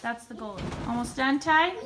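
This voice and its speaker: A woman's voice: on the high side, normal speed, heavy background noise. An American woman in her thirties chatting casually as a mother filming a family vlog on a handheld phone at home.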